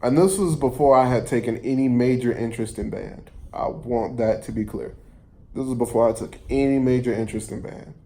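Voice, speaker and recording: A man telling a personal story casually, in a halting, rambling way, often rephrasing and repeating himself. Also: mid-range voice, mellow. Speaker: storyteller vlogger.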